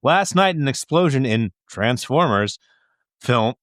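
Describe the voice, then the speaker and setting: A man's voice: mid-range voice, lively intonation, a little slow, a bit bright, dry recording, clean studio sound. A man co-hosting a comedy podcast, joking and swearing casually as he chats and reads listener letters. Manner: profane, joking. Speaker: podcast co-host.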